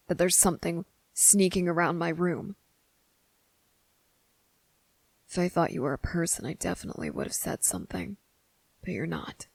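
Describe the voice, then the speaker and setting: A woman voicing a guarded, defiant young boy with deliberate, halting, serious delivery in an ASMR roleplay audio drama. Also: lowish voice, gravelly, no room sound. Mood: firm, reproachful.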